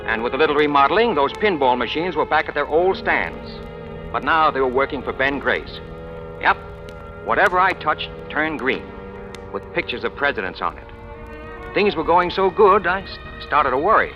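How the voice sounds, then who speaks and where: A self-assured American crime boss in an old radio drama. His talk is wry and full of tough slang, with a lively, swinging pitch. The sound is dull and aged, with music in the production. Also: moderate pace. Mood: wry narration. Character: cynical, self-assured male crime boss and gambling racketeer. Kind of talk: 1940s radio crime drama acting, first-person narration and dialogue.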